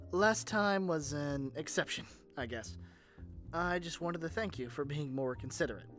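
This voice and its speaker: A man's voice: fairly high pitch, fairly bright tone. A man playing a caring, modest, socially awkward superhero in a conversational audio roleplay, speaking casually and hesitantly.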